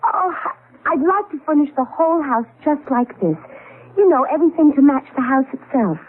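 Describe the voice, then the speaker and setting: An actress playing a playful, excitable wife in a 1970s radio drama, with a theatrical, expressive delivery. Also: female voice, sits high, normal speed. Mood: enchanted. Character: playful, excitable wife enchanted by her old house. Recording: tinny band-limited vintage radio recording.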